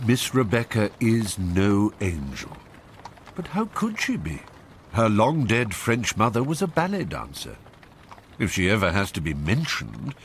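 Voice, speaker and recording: A man's voice: fairly low pitch, a little hiss or hum. A man playing an urbane, ironic English storyteller, narrating a Regency satire for a radio drama. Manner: ironic, knowing.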